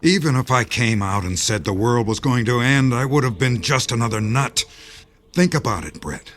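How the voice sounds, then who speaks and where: An actor playing Jerry, a weary, resigned insider explaining the end of the world in a grave radio-drama manner. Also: male voice, mid-range voice, lively intonation, normal speed, fairly bright tone, natural voice. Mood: bitter, explaining. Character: Jerry, weary male think-tank insider facing doomsday, resigned.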